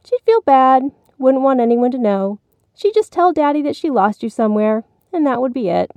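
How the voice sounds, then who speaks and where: A woman's voice: high-pitched, big pitch swings, normal speed, a little mellow, no echo, voice pushed up. A woman voicing a spiteful, bossy young girl in a storybook, with a sly, taunting manner.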